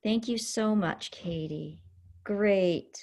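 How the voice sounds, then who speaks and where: A woman's voice: mid-range voice, slow, darkish tone. A woman hosting a student event on a video call, speaking casually and encouragingly with effusive, gushing praise. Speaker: host of a student symposium session.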